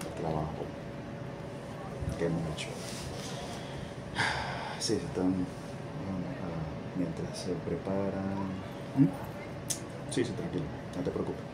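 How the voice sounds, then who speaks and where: A man playing a proud, doting sports coach in an ASMR roleplay, murmuring haltingly and repeating himself. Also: deep voice, fairly even intonation, very noisy. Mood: reassuring. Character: proud, doting male coach of an Olympic champion.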